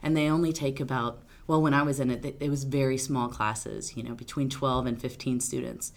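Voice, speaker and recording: A woman attorney from Arkansas, answering interview questions in a loud, outgoing, talkative way. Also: a bass voice, fairly even intonation, fairly quick, creaky. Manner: loud, big personality, talkative. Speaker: attorney guest.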